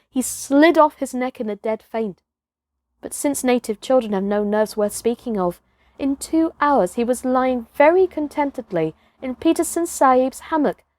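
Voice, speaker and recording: A woman's voice: fairly high pitch, a little mellow, no room sound, clean studio sound. A woman reading a children's story as a dramatic narrator, with theatrical, emphatic phrasing.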